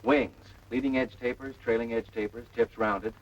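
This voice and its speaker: An actor playing a green, deferential young pilot answering in clipped, respectful phrases in a 1940s military training film. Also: male voice, mid-range voice, steady tone, normal speed, natural voice. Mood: dutiful. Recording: dull noisy vintage film soundtrack.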